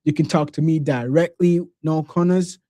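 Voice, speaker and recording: Relaxed, mellow-voiced male podcast host. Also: on the high side, normal speed, no echo. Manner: relaxed, slangy, says "bro" often. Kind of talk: casual podcast chat.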